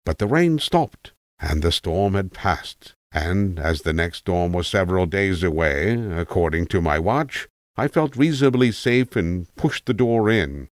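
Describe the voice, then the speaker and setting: A man's voice: low-pitched, lively intonation, unhurried, no echo, clean studio sound. A man voicing an eccentric, opinionated old tramp telling a rambling tale in an emphatic, self-assured way, as an audiobook character. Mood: reminiscing, animated. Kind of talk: acted audiobook character monologue.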